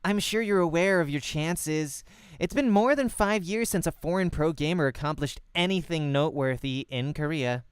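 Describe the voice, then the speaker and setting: A man's voice: on the high side, moderate pace, clean tone, dry recording, studio quality, pitched above the performer's own voice, lightly acted. A man voicing a brash, flippant young woman pro gamer who talks in a curt, interrogating way in a visual novel. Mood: stern.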